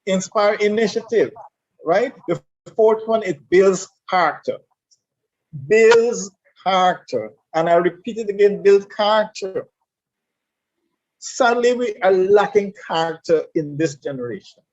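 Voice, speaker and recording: Caribbean man lecturing emphatically in a discussion, raised pitch, dull lo-fi audio. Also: unhurried, a little mellow. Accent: Caribbean English. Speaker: guest speaker on leadership. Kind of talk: conversational discussion. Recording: dull lo-fi sound.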